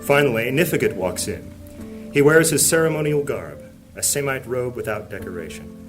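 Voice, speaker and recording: A man's voice: medium pitch, lively intonation, moderate pace, lightly acted. A man narrating a scripted fantasy audio drama as a solemn storyteller. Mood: solemn, descriptive. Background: plucked guitar music.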